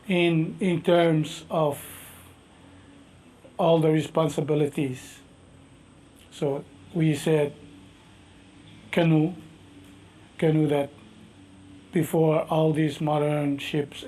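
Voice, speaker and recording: Deep-toned Micronesian man, slow and thoughtful. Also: mellow, poor audio. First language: a Micronesian language. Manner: slow, thoughtful, halting speech with many fillers. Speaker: master navigator and canoe captain. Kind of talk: interview answers.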